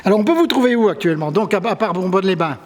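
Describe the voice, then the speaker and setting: A man's voice: high-pitched, animated, very fast, slight background noise. A man interviewing a sculptor and guiding a visit through an exhibition, asking formal, leading questions. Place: tourist office exhibition hall. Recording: reverberant hall with faint ambience.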